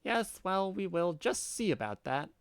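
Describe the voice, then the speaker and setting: A man voicing a timid young male troll who protests in a whiny, halting way. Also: sits high, sing-song, smooth, dry recording, pitched above the performer's own voice, full character voice. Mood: defiant.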